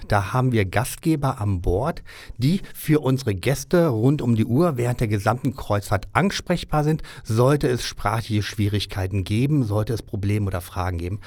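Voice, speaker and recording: Männliche Gaststimme, trocken im Studio. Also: male voice, mid-range voice, no room sound. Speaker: cruise line business development director. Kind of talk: interview answers. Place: audio studio.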